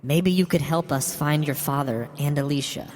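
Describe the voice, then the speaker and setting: A man voicing a bitter, grieving old mother in a horror story, wounded and resentful, confessing haltingly. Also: on the high side, pitched above the performer's own voice, natural voice. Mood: accusing. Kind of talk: dramatic horror story reading. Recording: long hall reverb. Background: music.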